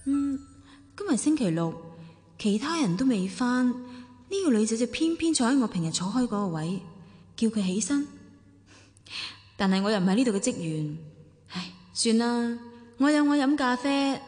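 Radio drama: a proud, self-doubting mother, Hong Kong accent, higher-pitched, introspective storytelling in a long reverb. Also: sing-song, moderate pace, pauses often, darkish tone. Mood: puzzled. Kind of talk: radio drama narration and dialogue.

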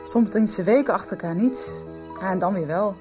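A woman's voice: medium pitch, over music, natural voice. Hesitant, troubled teenage girl played by an actress. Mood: hesitant, resigned. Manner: hesitant, halting.

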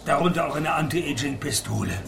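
An actor playing a middle-aged, sardonic private detective, narrating and talking in a deadpan, wry way in a radio play. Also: male voice, a little high, a little fast, vocal fry, slight background noise. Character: dry, sardonic, worldly-wise aging male private detective.

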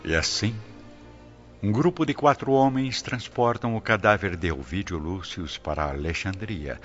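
Casting: solemn male narrator, very deep voice, Brazilian accent, dramatic storytelling over faint music. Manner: solemn, measured. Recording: dull lo-fi recording with music bed. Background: soft music.

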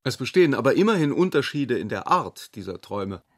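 A man's voice: mid-range voice, sing-song, fairly quick, fairly bright tone. An actor playing a wry, cultured man who speaks articulately in a literary radio play.

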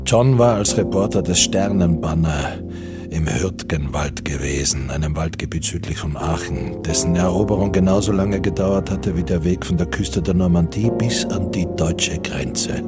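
A dry, wry storyteller in a war radio play. His voice is low and his delivery measured and literary. Loud music runs underneath. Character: wry male narrator of a wartime radio novel. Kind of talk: scripted literary narration.

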